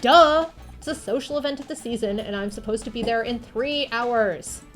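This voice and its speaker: A woman's voice: fairly high pitch, normal speed, faint music behind, natural voice. Woman playing a snooty, whiny young diva actress. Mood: petulant. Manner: scornful, dramatic, whiny. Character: vain, snooty young TV actress, spiteful and self-important.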